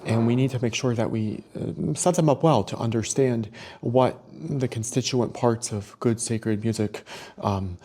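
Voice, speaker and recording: Mellow, measured man in an interview. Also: medium pitch. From New Hampshire, United States. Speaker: interview guest, seminary music director. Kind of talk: radio interview. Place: on location at a seminary.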